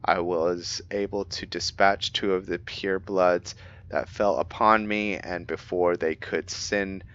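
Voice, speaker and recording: A man with a very low, bright-edged voice reads game journals aloud slowly, stumbling and correcting himself as he goes. The mic sounds dull and lo-fi, with faint noise underneath. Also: fairly even intonation. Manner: halting reading with frequent self-corrections. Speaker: gamer playing and narrating. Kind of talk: roleplay let's play, reading in-game journals aloud.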